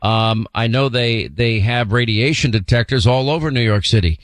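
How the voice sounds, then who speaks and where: A male talk radio host at a mid-pitched studio mic, with a close, dry sound and no room around him. He presses his points hard, slowing his words right down when something matters. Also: dry recording. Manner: emphatic, slows down to stress points. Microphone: studio microphone.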